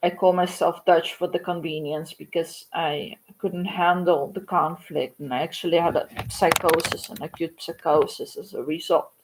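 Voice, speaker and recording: A woman in her forties from Ukraine, speaking English, telling a halting, reflective livestream story about her art. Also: deep voice, steady tone, slight background noise, rough recording. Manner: halting and reflective, many pauses and fillers. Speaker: artist. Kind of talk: livestream monologue.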